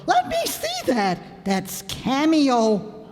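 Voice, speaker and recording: A woman's voice: a little high, wildly swooping pitch, very slow, a little mellow, exaggerated voice. A woman playing a scatterbrained, bossy housewife playwright in a radio sitcom, flustered, recorded in a noisy, echoey hall. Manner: flustered, exclaiming. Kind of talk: old-time radio sitcom acting.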